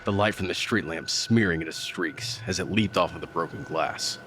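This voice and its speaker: A man's voice: deep voice, normal speed, slight background noise. An actor playing a battered, gritty fighter, narrating his own story in an audio drama with grim intensity. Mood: grim, intense. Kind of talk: dramatic first-person scripted narration. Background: loud music.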